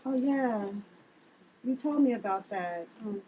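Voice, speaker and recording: A woman's voice: a little high, lively intonation, measured pace, dark tone, slight background noise, poor audio. A woman reading the part of a blunt, sardonic sister with a dry, dismissive manner in a family drama table read.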